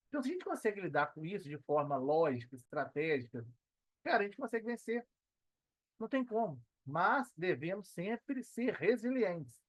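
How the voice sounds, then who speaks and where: A man's voice: sits high, no room sound, highs cut off. A man with a Brazilian Portuguese accent speaking as a podcast guest in a casual, motivational way, repeating phrases for emphasis.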